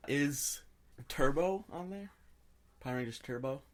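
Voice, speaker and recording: A man's voice: fairly high pitch, expressive, a little slow. A man co-hosting a podcast, chatting casually about pop culture and giving his opinions freely. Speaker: podcast co-host.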